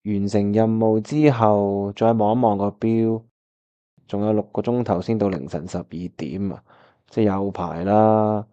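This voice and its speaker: A man's voice: on the low side, fairly even intonation, a little slow, dark tone, clear, resonant tone, lo-fi sound, close to an everyday voice. A man with a Hong Kong Cantonese accent acting a disheartened, wistful time traveller who narrates his own radio drama. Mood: resigned, grumbling. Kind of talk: first-person radio drama narration and dialogue. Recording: music playing behind the voice.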